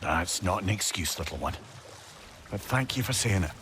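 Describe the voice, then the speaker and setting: Animated, witty old talking head. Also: male voice, deep voice, normal speed, slight background noise. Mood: gentle, regretful. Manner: wry, eloquent, emotive. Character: talkative, witty, tender-hearted old severed head. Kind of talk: cinematic video game acting.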